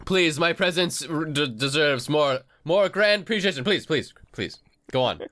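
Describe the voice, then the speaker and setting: A man's voice: fairly high pitch, lively intonation, normal speed, a bit bright, no room sound. A man co-hosting a casual podcast, teasing and sarcastic. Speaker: podcast co-host. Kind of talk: casual chat.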